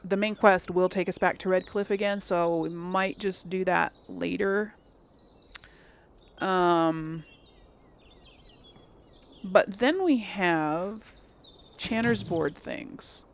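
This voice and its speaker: Female let's play host, slow-paced and creaky, chatty think-aloud delivery to viewers. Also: lowish voice. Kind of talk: casual gameplay commentary.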